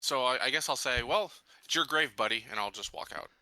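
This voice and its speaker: Male tabletop player, medium pitch, very crisp bright edge, halting deliberate delivery, casual game talk. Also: no room sound.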